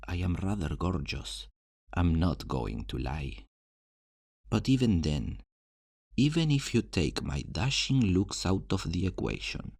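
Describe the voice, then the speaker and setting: A cocky fantasy thief played by a man with a very low voice. He takes his time over every phrase, flirting and teasing with theatrical self-assurance. The sound is close and dry, as in an intimate audio roleplay. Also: creaky, a little mellow, husky, no echo, exaggerated voice. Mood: flirtatious, smug. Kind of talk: fantasy ASMR roleplay, addressing the listener.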